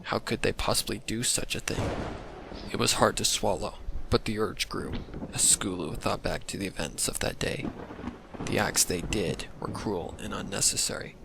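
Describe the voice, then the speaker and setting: A man with a fairly low voice and plenty of fry reads a dark mystery tale. His delivery is scripted narration, with clear storm noise behind him. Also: moderate pace. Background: thunder and rain effects.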